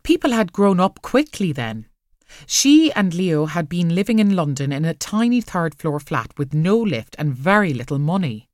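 A woman reading fiction aloud as an audiobook narrator, expressive and measured in her storytelling. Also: lowish voice, dry recording, studio quality.

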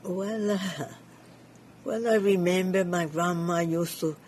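Older woman, Cuban Spanish accent, flat even delivery, dark mellow tone, hesitant, faint background noise. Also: lowish voice, slow. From Cuba. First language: Yiddish. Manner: hesitant, searching for English words. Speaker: community leader. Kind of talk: interview conversation.

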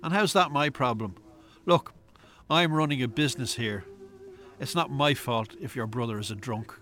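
A man's voice: mid-range voice, normal speed, fairly bright tone, soft background music, lightly acted. A man playing a callous Irish pub owner in a radio drama, dismissive and defiant. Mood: smug, defensive.

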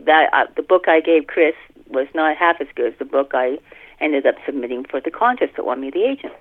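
A woman's voice: low-pitched, animated, brisk. A middle-aged woman author giving a casual, anecdotal interview over the telephone, with a self-deprecating manner. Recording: tinny narrow phone line.

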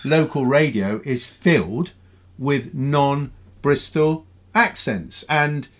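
A man hosting a radio panel discussion, brisk and questioning as he steers the talk. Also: mid-range voice. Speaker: radio presenter.

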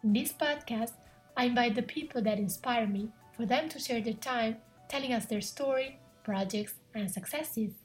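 A woman hosting a podcast who reads a scripted introduction and then asks her guest questions in an earnest, measured way. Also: a little high, husky, soft background music. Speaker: podcast host.

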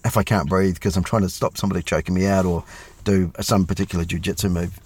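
Deep-voiced middle-aged New man. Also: little pitch movement, fast talker, a little mellow, a little hiss or hum. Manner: chatty and self-deprecating, with lots of fillers. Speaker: police officer guest. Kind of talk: casual interview chat.

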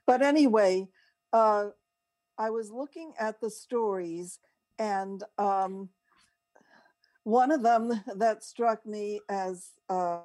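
A woman's voice: medium pitch, slow pace, darkish tone. An older woman co-hosting a radio show, chatting and telling stories casually in a rambling, easily sidetracked way. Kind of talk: casual chat and storytelling.

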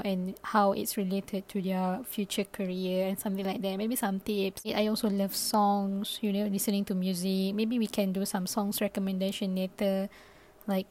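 A woman's voice: medium pitch, darkish tone. A young Malaysian woman, a native Malay speaker, hosting her own podcast in a casual, thinking-aloud way, full of fillers and restarts. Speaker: podcast host. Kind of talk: casual solo podcast talk.

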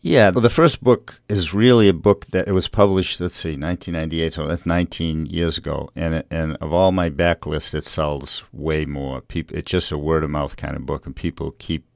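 Very deep, gravelly middle-aged American man. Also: steady tone, moderate pace, hardly any pauses, no room sound, studio quality. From Revere, Massachusetts, USA. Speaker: novelist being interviewed. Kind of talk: conversational interview.

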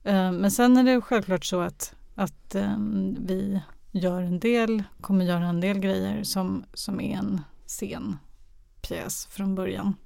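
A woman's voice: medium pitch, fairly even intonation, gravelly, warm tone, dry recording, clean studio sound. A woman being interviewed as a radio drama professional, speaking conversationally and hesitantly as she searches for her words. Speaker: radio drama director or producer, interview guest. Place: close, dry podcast recording.

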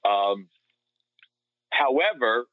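A man's voice: medium pitch, sing-song, very slow, dry recording. A man giving casual, conversational sports analysis as a podcast co-host, on lo-fi audio. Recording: dull, lo-fi remote audio.

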